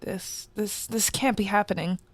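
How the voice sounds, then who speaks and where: A woman playing a hesitant, shaken young newcomer in an audio drama, soft and halting. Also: medium pitch, steady tone, no room sound, natural voice. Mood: shaken, disbelieving.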